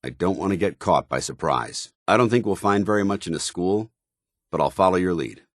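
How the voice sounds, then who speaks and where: An actor playing a stern, disciplined armored soldier in a video game, speaking in formal, clipped military phrases. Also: male voice, low voice, dry recording, studio quality. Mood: matter-of-fact. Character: stern, dutiful armored soldier paladin, grown man, devout and disciplined. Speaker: companion character. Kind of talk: scripted video game dialogue.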